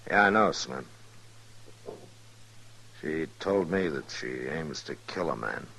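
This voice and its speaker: An actor playing a calm, watchful American frontier marshal in an old-time radio Western, with a dry, laconic manner. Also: male voice, extremely low pitch, takes it slowly, vocal fry, slight background noise, full character voice, poor audio. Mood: dry, probing. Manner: dry, laconic, folksy questioning.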